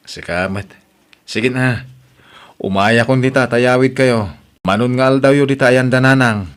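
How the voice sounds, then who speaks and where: A man's voice: mid-range voice, measured pace, clean tone, natural voice. A man playing a weary, defensive young husband in an audio drama. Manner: defensive, tired.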